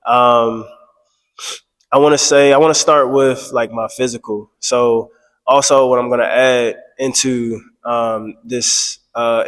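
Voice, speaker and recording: A man getting over a cold, with a stuffy voice, talking candidly in a rambling casual vlog full of fillers and restarts. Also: medium pitch. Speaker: vlogger. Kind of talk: casual personal vlog monologue. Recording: long echoey reverb.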